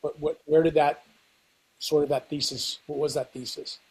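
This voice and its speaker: Male podcast host, husky voice, slightly high pitch, scripted intro, restarts questions. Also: flat delivery, moderate pace, darkish tone, poor audio. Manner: repeats words when asking questions.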